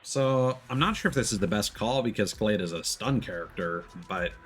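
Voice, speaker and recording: Lower-voiced male game streamer. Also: lively intonation, normal speed, fairly bright tone. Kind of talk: live game commentary, reading on-screen text aloud.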